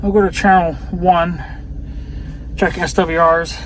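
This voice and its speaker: A man's voice: high-pitched, flat delivery, slow pace, fairly bright tone, slight background noise, rough recording. An American man talking in a rambling, casual vlog style, sounding resigned and grumbling, with some swearing. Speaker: vlogger.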